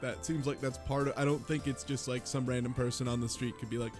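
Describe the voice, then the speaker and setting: A man's voice: mid-range voice, flat delivery, fast. A man in a casual group chat about a video game, sarcastic and mildly profane as he talks. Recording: music behind the voice.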